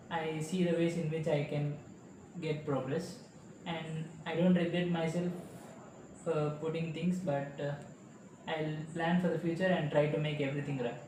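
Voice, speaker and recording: A young Indian man with a slightly higher voice reads his self-reflection answers in an earnest, halting way, stopping on fillers and restarting phrases. He sounds set back from the mic in a strongly echoing room. A steady hiss of noise sits under his voice. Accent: Indian English. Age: young adult. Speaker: student. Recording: echoey room, voice a bit far from the mic, clear background noise.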